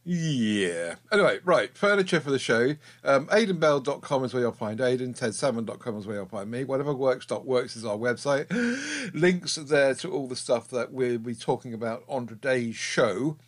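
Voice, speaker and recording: Brief: middle-aged male host, mid pitch, relaxed jokey chat. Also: normal speed, few pauses. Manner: chatty, jokey, with filler ums. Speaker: podcast co-host. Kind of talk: casual chat.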